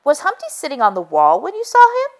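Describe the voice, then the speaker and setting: A woman's voice: high-pitched, huge pitch swings, quick pace, voice pushed up. Woman as an earnest, wisecracking storybook egg detective. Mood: questioning.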